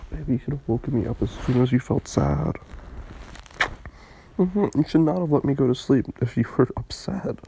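A person playing a tender, protective romantic partner in an intimate comfort roleplay, speaking in hushed, soothing, breathy murmurs. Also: mid-range voice, moderate pace, a little hiss or hum, lightly acted, poor audio. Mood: earnest, insistent.

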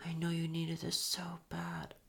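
A young woman speaks in a soft, teasing whisper, drawing out each phrase slowly. Her voice sits low, with a gravelly fry at the edges and breathy murmurs between lines. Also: completely flat delivery. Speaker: audio roleplay performer. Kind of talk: intimate whispered audio roleplay.

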